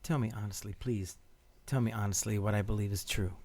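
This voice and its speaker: A man's voice: on the low side, steady tone, brisk, a little mellow. An actor playing an ardent, insistent man in forbidden love in a radio play, speaking earnestly and tenderly. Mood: tender, urgent. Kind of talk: radio play romantic acting.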